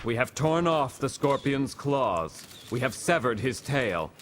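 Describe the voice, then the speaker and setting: A man's voice: medium pitch, very animated, a little hiss or hum. Solemn, declamatory statesman. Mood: solemn, defiant. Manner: slow, declamatory. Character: solemn, triumphant male statesman making a victory speech. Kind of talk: oratory in a video game cutscene.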